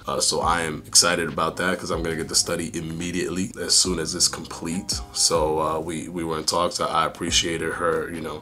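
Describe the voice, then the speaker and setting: A young man with a hoarse, raspy voice, rambling casually through a fitness vlog update with many fillers. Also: a bass voice, sharp tone. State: hoarse, losing voice. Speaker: fitness YouTuber.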